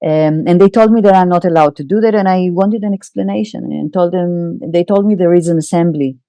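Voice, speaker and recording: A middle-aged woman with an Israeli accent, a psychoanalyst guest in an interview, telling her story candidly with halting pauses and wry laughs. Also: fairly low pitch, warm tone, smooth, dry recording.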